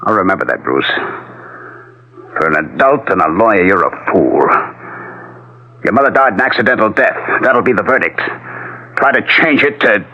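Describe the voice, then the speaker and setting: Curt, defensive husband. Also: male voice, fairly low pitch, animated, normal speed, pauses often, sharp tone. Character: defensive, cold husband under suspicion. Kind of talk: 1970s radio drama acting. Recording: dull 1970s radio broadcast.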